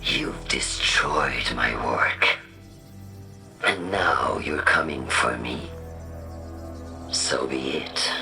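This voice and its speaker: A woman playing an obsessed, imperious scientist villain in a video game, speaking in a theatrical, condescending manner with a low, creaky, breathy voice. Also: extremely slow, pauses often, heavily acted. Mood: bitter. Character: obsessed, imperious female scientist turned coldly menacing villain. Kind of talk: dramatic video game acting. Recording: loud music under the voice. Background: loud dramatic game music.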